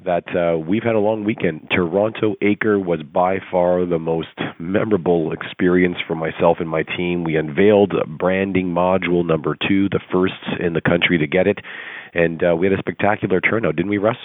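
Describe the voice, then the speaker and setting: Hoarse deep-voiced man on a phone line. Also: steady tone, moderate pace, dry recording. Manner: earnest, rousing, rhetorical questions. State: hoarse, recovering from laryngitis. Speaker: coaching call presenter. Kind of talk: motivational coaching talk. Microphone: telephone conference call. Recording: tinny phone line, dry.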